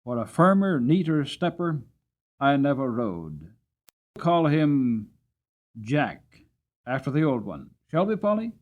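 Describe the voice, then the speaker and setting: A hearty, affectionate Victorian cabman, acted out by a male storybook reader. He is a cheerful family father whose pitch moves up and down freely, heard on slightly dull audio. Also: sing-song, slow, pauses often. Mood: fair-minded, warm.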